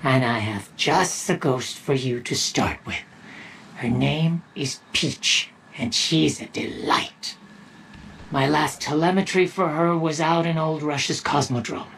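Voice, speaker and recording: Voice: medium pitch, slow, creaky, fairly bright tone, slight background noise. A performer playing a friendly, focused quest-giver in a video game, briefing an ally in an earnest, conversational way. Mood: welcoming, earnest.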